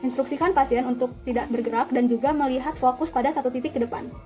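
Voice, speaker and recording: A woman's voice: high voice, fairly even intonation, fast talker, dark tone, soft background music. A young woman giving an instructional demonstration in an orderly, polite, step-by-step manner. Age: young adult. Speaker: dental student demonstrating a radiography procedure.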